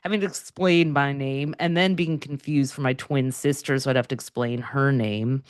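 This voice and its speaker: A woman's voice: rumbling low, fairly even intonation, normal speed, no room sound, clean studio sound. A woman hosting an interview, talking about astrology in an enthusiastic, chatty way. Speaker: host, astrologer. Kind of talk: conversational interview.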